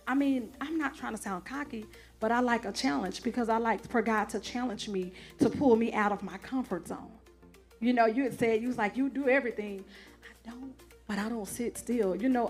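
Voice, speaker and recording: Brief: lively woman co-host, a bit high, faint music under her. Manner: lively, teasing, emphatic. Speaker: church co-host. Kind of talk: casual church chat.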